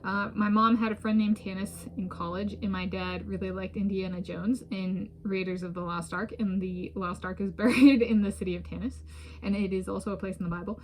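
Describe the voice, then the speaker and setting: A woman's voice: medium pitch, fairly even intonation, fairly quick, a little hiss or hum, rough recording. A woman from the United States talking casually to her own camera, rambling with many restarts and small laughs. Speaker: vlogger. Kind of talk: casual solo talk to camera. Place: home in a residential area. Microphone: self-filmed camera.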